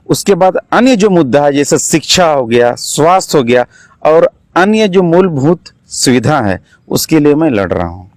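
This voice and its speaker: A man's voice: on the high side, big pitch swings, moderate pace, no room sound. Young man with a Jharkhand Hindi accent. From Jharkhand, India. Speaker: election candidate, political activist. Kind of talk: political interview answers.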